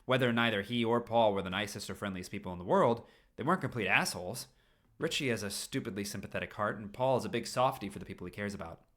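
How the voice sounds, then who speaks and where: A man's voice: lowish voice, fast talker, a bit bright. A man reading a story aloud expressively on a stream, now and then tripping over a phrase and repeating it. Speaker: fan fiction reader on a livestream.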